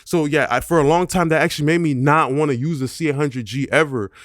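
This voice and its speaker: A music producer talking gear with a man's fairly high-set voice, loose and slangy, dropping plenty of fillers as he goes. The sound is dry and close, with no room around it. It comes across as an informal review chat to camera. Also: quick pace, fairly bright tone, no echo. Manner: loose, conversational, many "you know" fillers. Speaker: music producer and mixing engineer.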